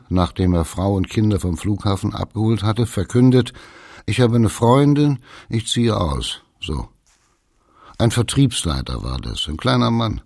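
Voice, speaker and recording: An actor playing a wry German pastor, telling anecdotes in clipped sentences in a radio drama. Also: male voice, fairly low pitch, darkish tone, no room sound, studio quality, heavily acted. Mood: amused disbelief. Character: wry male German pastor among expatriates, blunt and amused. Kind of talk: documentary radio drama monologue.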